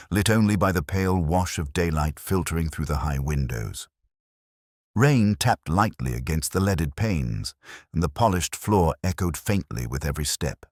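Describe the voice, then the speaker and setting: A man narrating a scripted detective story in a measured, literary, atmospheric manner. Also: a bass voice, no room sound, studio quality.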